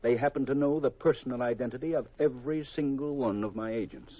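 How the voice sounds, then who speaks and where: An actor playing a grave, secretive government intelligence chief in a 1950s radio drama, deliberate and confidential on a vintage recording. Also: male voice, mid-range voice, muffled recording, natural voice. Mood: grave, confiding.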